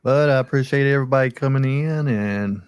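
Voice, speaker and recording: A man's voice: mid-range voice, brisk, a little mellow, clear, resonant tone, dry recording, highs cut off. A man in his forties with a Southern American accent, hosting a casual live chat. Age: 40s. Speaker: host.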